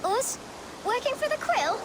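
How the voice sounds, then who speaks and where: Brief: brash young street thief girl, very high childlike voice, slangy taunts, game cutscene over noisy ambience. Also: very animated, measured pace, heavily acted. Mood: brash, defiant. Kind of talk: video game cutscene acting.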